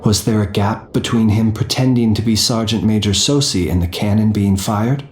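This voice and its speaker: An actor playing a composed, analytical gentleman soldier in a video game, speaking in a measured, formal, deliberate way. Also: fairly low pitch, roomy sound, a little hiss or hum, close to an everyday voice. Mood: suspicious. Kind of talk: video game character dialogue.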